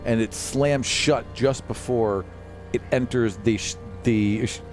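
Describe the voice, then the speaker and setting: A man's voice: mid-range voice, slow pace. A man narrating a tabletop role-playing game as game master, conversational and descriptive, feeling for his phrasing as he goes. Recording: loud music bed under the voice. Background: background music.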